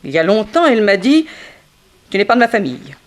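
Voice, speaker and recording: An actress playing a frank, wry young dancer in an early 1960s French radio mystery, theatrical and gossipy. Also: female voice, mid-range voice, sing-song, fast, lightly acted. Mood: wistful, candid.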